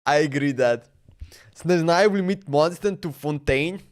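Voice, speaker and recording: A man's voice: fairly high pitch, normal speed. A man streaming a game, chatting loosely and laughing, often saying "bro" and "man.". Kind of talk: casual livestream chat while playing.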